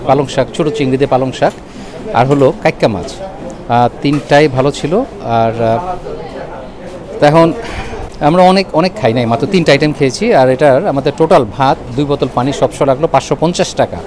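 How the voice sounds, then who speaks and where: Male Bangladeshi food vlogger, chatty restaurant review sprinkled with English, recorded amid busy urban bustle. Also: mid-range voice. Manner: chatty, with English words mixed in. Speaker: food and travel vlogger, host. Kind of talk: casual restaurant review to camera. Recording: busy roadside traffic and chatter behind.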